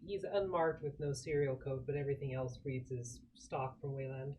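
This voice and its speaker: A man's voice: medium pitch, normal speed, a little mellow, a little hiss or hum, low-quality recording. A man chatting casually as a tabletop role-playing player, thinking aloud and asking questions. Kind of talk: casual game-table chat.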